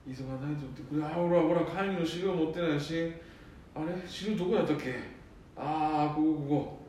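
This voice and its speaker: A man's voice: on the high side, fairly quick, dark tone, natural voice. A man playing a weary, henpecked eldest son and salaryman in a radio drama, grumbling and muttering. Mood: flustered. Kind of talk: amateur radio drama acting. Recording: echoey room, distant mic, faint noise.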